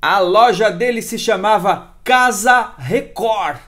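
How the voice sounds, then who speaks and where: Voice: medium pitch, lively intonation, slow, fairly bright tone. A presenter narrating history informally, with chatty, emphatic asides to the viewer. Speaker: video presenter.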